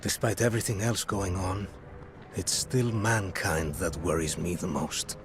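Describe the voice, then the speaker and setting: An actor playing a weary, grieving caravan leader in a reflective fantasy game voice-over. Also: male voice, low voice, steady tone, measured pace, over music. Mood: brooding.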